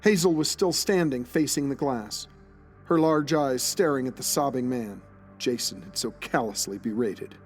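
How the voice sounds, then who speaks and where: A man's voice: mid-range voice, normal speed, faint music behind. A man narrating a scripted drama as a storyteller, reading descriptive prose.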